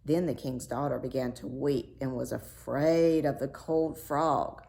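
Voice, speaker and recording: A woman reading a fairy tale aloud as a storyteller, measured and expressive. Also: a bass voice, creaky, a little hiss or hum.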